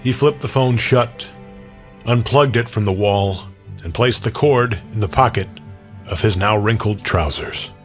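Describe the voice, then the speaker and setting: A man's voice: lowish voice, measured pace. A man narrating a scripted first-person audio drama as its storyteller. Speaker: narrator and performer. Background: original music score.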